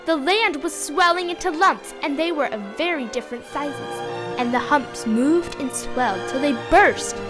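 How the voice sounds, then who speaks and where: A young female narrator reading a scripted fantasy story aloud with expressive storybook delivery. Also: high-pitched.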